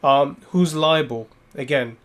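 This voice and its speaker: A man moderating a webinar, putting measured questions to the speaker with frequent ums and uhs. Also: on the high side.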